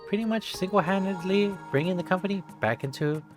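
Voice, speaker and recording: A streamer gives an expressive read-aloud of a visual novel. The voice sits at a medium pitch, with game music clearly playing behind it. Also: fairly quick.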